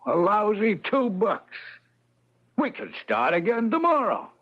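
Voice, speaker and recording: An actor playing a streetwise talking horse in an old-time radio comedy, with slangy, cocky tipster patter. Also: male voice, high voice, wildly swooping pitch, unhurried, dull audio, heavy background noise, exaggerated voice. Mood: confident, coaxing. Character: streetwise, wisecracking male talking horse who tips races.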